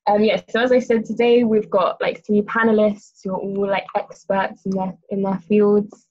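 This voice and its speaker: A woman's voice: medium pitch, level tone, normal speed, mellow. Young English woman, muffled lo-fi audio. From small countryside town on the east coast of the UK. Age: young adult. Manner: informal, hesitant. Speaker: seminar host. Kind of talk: casual panel moderating. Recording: muffled, lo-fi online call audio.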